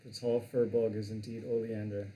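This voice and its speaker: A man's voice: lowish voice, level tone, a little fast, warm tone, slight background noise, lightly acted, rough recording. A man voicing a groggy, rumpled male professor who speaks in a dry, matter-of-fact way.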